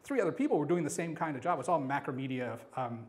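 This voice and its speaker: Higher-voiced man telling a rambling story. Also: fast talker. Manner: animated, rambling, acts out dialogue. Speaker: animation industry storyteller. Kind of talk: comic personal anecdote told live. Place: live storytelling event.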